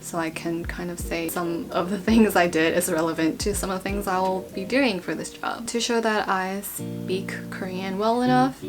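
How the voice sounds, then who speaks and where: A young woman talking casually and informally as a vlogger, in a chatty, rambling explainer style. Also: mid-range voice. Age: young adult. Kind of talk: casual informational vlog talk. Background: background guitar music.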